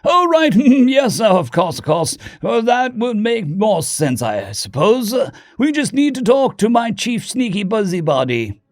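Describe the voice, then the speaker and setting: High, posh British hamster diplomat. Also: male voice, very animated, unhurried, hardly any pauses, dry recording, studio quality. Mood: jolly, muddled. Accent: posh upper-class British. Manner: pompous, effusive, chuckling, muddled. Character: pompous upper-class male space hamster diplomat, jolly and bumbling.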